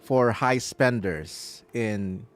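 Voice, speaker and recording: A man's voice: on the low side, drawn-out words. A man streaming a game live, casually explaining things and chatting with his viewers. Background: game music.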